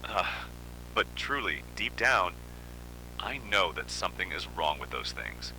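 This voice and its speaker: A man playing an uneasy car company spokesman haunted by dread, in a brooding, halting horror monologue. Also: low-pitched, animated, pushed and bright, a little hiss or hum, exaggerated voice, low-quality recording. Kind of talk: scripted analog horror monologue.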